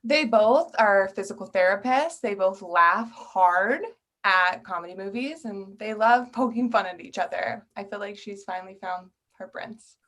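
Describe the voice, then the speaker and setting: A woman's voice: medium pitch, normal speed, fairly bright tone, lightly acted. A woman playing a candid maid of honor, the bride's old friend, in a comedy table read.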